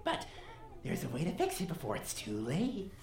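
Woman as an intense kid with wildly swinging pitch. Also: wildly swooping pitch, normal speed, a little mellow. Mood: earnest. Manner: earnest, urgent, dramatic. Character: intense, persuasive runaway child captive. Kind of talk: audio drama acting. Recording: roomy, echoing student audio drama.

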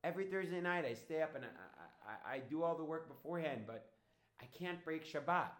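A man voicing a devout seamstress in an oral story, earnest and steadfast, halting and repeating herself. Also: fairly high pitch, expressive, a bit bright. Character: devout young seamstress, pleading yet proudly steadfast. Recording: echoey room.

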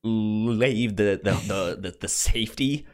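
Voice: medium pitch, takes it slowly. A podcast co-host chatting casually about a film, stammering and often repeating syllables and words.

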